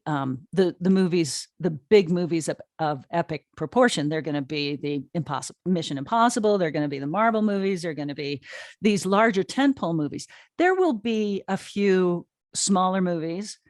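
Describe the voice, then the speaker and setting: A woman with a fairly low voice speaks as an interview guest, chatting informally and with emphasis. She sounds enthusiastic, and the recording is dry with hardly any room sound. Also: darkish tone, no echo. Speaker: interview guest, audio drama streaming co-founder. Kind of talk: conversational interview answers.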